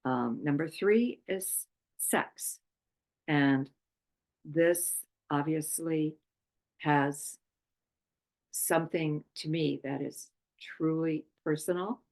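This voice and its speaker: Slow, low-voiced woman teaching a workshop. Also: a little mellow. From Poland. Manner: slow, halting, with many pauses and fillers. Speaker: chakra workshop presenter. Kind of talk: unscripted spiritual talk.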